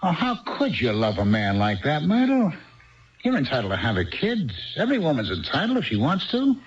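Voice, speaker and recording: An actor in a 1970s radio drama playing a protective, plain-spoken bartender with a coaxing, informal way of listening. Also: male voice, on the high side, wildly swooping pitch, brisk. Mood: indignant, supportive. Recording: dull 1970s radio broadcast recording.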